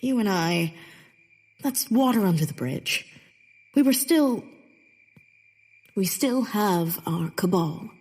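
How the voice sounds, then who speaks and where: A woman's voice: medium pitch, expressive, slow, pauses often, a little mellow, slightly rough, heavily acted, rough recording. An actress playing a bitter, theatrical Victorian woman poet in a comedic period audio drama, with a haughty, sardonic delivery. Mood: defensive, wry.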